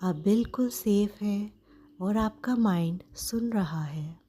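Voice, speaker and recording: An Indian woman narrating a guided meditation in a soothing, gentle manner, leaving frequent pauses between short phrases. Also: medium pitch.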